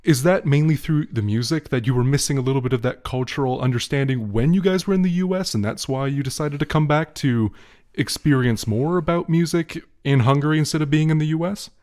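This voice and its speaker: A man's voice: medium pitch, fairly quick, darkish tone. A man hosting an interview in his own radio studio, welcoming his guest and asking long questions. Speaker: radio show host.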